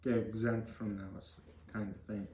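A young man with a flat, mellow delivery answers interview questions plainly and briefly. The recording is echoey, with faint noise behind him. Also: lowish voice, measured pace. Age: young adult. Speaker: podcast guest, trade program student. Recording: echoey room with noise and clicks.

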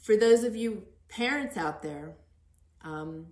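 A woman's voice: mid-range voice, animated, slow pace, darkish tone, reverberant. A middle-aged woman hosting a casual conversational interview in an encouraging manner. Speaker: podcast host interviewing her son.

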